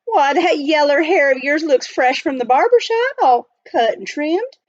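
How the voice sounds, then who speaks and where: Woman voicing a folksy, high-swooping gold miner. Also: lively intonation, measured pace, no echo, lo-fi sound. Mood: folksy, cheerful. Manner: folksy frontier dialect. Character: friendly rough-hewn young gold miner, folksy and excitable. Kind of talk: children's storybook read aloud.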